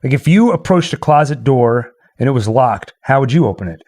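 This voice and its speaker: A man's voice: mid-range voice, expressive, a little fast, a little mellow. A man chatting casually as the host of a livestream. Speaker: host and performer.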